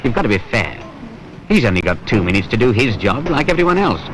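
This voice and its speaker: A man's voice: medium pitch, sing-song, fast, fairly bright tone. An actor playing a wry, world-weary British working man who narrates a training film in a colloquial, grumbling, sardonic way. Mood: wry, exasperated. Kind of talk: conversational scripted narration in a 1970s training film. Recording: noisy 1970s film soundtrack.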